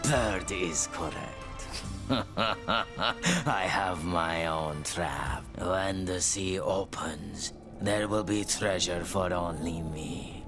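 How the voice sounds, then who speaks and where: A suspicious old pirate captain in a video game. He speaks low and very slowly, brooding and ominous over his treasure. Music plays clearly behind him. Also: heavily acted. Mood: brooding, sly. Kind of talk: video game cutscene acting.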